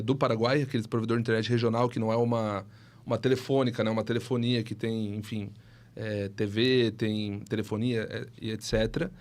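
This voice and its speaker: A man's voice: medium pitch, darkish tone. A man of about thirty, telling his story as a podcast guest in an explanatory, conversational way, often adding little tag questions. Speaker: guest, tech entrepreneur and CEO.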